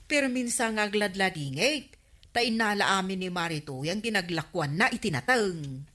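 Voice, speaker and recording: A young villager in a radio comedy drama. She has an Ilocano accent and an average female pitch, and she sounds like someone airing a complaint. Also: expressive, moderate pace, a bit bright. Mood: complaining.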